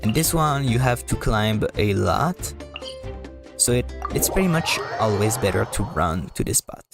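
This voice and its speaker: A man giving casual, halting and rambling live commentary as a video game streamer, often repeating words. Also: fairly low pitch, very animated, vocal fry, music in the background.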